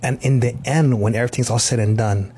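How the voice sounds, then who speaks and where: Man in his fifties from Hawaii; easygoing, joking interview guest with a husky voice. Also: medium pitch, steady tone, fast talker, warm tone. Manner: joking, laid-back. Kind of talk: casual interview.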